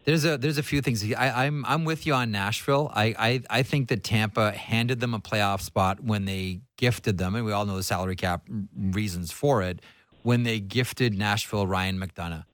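A man's voice: on the low side, normal speed, fairly bright tone, no room sound, studio quality. A man hosting a sports talk show, giving conversational analysis emphatically and repeating phrases for stress.

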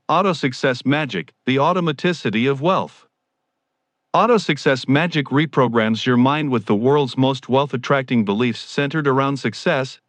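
A man reads scripted promotional copy in a medium-pitched voice. His delivery is formal and grandiose, like a salesman presenting his own products. The sound is dry, with no room around the voice. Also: dry recording.

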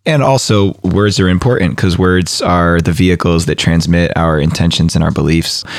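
A podcast host with a very low, hoarse voice and a raspy edge. He talks in a reflective, deliberate way, and the sound is dry and close. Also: fairly even intonation, no room sound. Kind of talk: podcast interview.

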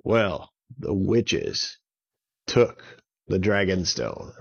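A man plays a hot-tempered storybook dragon with a gravelly, husky voice. He is emphatic and dramatic, swinging widely in pitch as he speaks to a young audience in a children's tale. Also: big pitch swings, extremely slow, highs cut off, exaggerated voice. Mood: upset. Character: angry, upset young male magic dragon.

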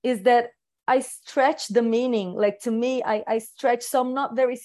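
A woman whose first language is Portuguese speaks English in a warm, mellow voice. She talks in a searching, hesitant way and often restarts her sentences as she reflects. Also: on the high side, steady tone, measured pace. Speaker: participant in a group conversation. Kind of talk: reflective group conversation.

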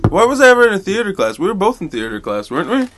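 A man's voice: sits high, heavy background noise. A young man co-hosting a podcast, riffing through improvised comedy bits and often laughing through his lines. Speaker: podcast co-host.

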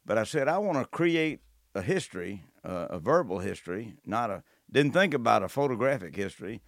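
A man hosting a casual chat, earnest and reflective, with a halting delivery. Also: lowish voice, very animated, no room sound, clean studio sound. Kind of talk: casual conversation.

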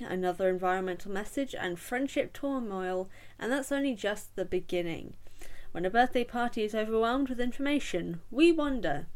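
A woman's voice: fairly high pitch, a little mellow. A British woman hosting a solo book podcast, chatting casually with a blocked nose from a cold, full of ums and little giggles. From Britain. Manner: chatty and self-deprecating, with frequent ums and giggles. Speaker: podcast host.

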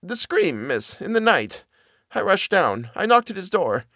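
Brief: male reader doing a jittery middle-aged housekeeper, high and halting, dry close sound. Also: very animated, a bit bright, dry recording, heavily acted. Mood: fearful. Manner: halting, stammering, plain servant speech. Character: frightened old nanny, a middle-aged housekeeper woman.

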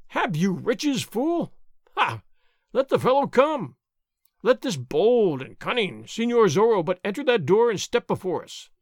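Boastful, thundering army sergeant, acted by a male reader, high and wildly swooping, for an adventure storybook. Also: no echo, studio quality, heavily acted. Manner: blustering, thundering, theatrical. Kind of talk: dramatized adventure storybook reading.

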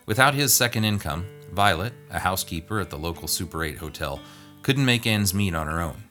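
Male narrator for a scripted story, deep voice, light plucked-string music behind. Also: animated, fairly quick, sharp tone. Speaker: guest reader.

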